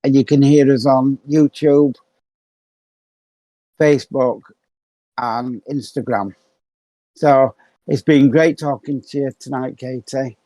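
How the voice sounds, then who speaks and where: A man's voice: medium pitch, fairly even intonation, slow pace, pauses often, warm tone, no room sound, low-quality recording. A middle-aged man hosting a podcast in a rambling, self-mocking casual chat, close to a dry mic. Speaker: podcast host.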